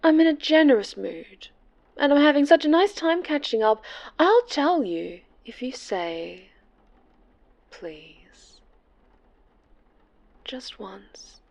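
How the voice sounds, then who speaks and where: Slow, breathy, menacing superhero villainess played by an actress. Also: female voice, sits high, sing-song, a little mellow, dull audio, heavily acted. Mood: teasing, coaxing. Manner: breathy whispers, slow taunting, mock-sweet. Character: obsessive villainous winged superhero woman, sweetly menacing, possessive. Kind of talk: ASMR horror roleplay, dramatic and intimate.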